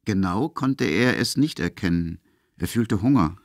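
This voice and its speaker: A man reads literary narration for an audio drama. His voice is on the low side, and the recording is dry, with very little room around it. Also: animated, unhurried, creaky, no echo.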